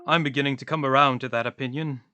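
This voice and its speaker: A man's voice: medium pitch, fairly even intonation, fast, lo-fi sound, over music, close to an everyday voice. A man playing a talkative, philosophical vagrant in a radio play, with a wordy, pompous, theatrical delivery. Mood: musing, hearty.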